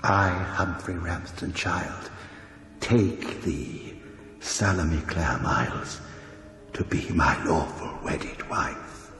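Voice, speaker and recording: An actor playing a formal, domineering middle-aged husband in a radio drama, speaking solemnly and pompously. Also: male voice, low-pitched, extremely slow, fairly bright tone, faint music behind, heavily acted.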